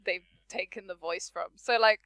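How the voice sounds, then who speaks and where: Bright, crisp-voiced woman, giggly and hesitant. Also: mid-range voice, normal speed, no echo. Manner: hesitant, self-deprecating, giggly. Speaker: voice actress guest. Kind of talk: casual podcast chat.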